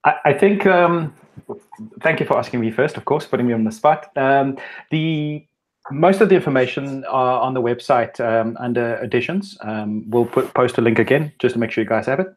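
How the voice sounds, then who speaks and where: A man hosting and moderating a live online chat, talking in a relaxed way with small stumbles. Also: mid-range voice, normal speed. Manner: relaxed, stumbling over words. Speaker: host and moderator. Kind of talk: casual live stream chat.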